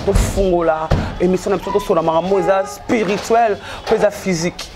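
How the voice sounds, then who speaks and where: A woman hosting a casual interview on a small studio set, prompting her guest with quick, chatty questions. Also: medium pitch, steady tone, music in the background. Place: small studio with a branded backdrop. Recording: noisy studio recording.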